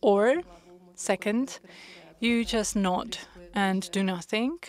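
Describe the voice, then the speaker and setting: A woman interpreting a panel discussion into English, measured and pausing with frequent hesitations. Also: mid-range voice, darkish tone. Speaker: conference interpreter.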